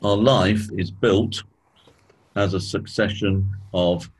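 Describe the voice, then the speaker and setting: A man with a croaky voice, clearing his throat often as he leads a church study session, slowing down on key points. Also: low-pitched, steady tone. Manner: measured, slows down on key points. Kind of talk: spoken teaching talk.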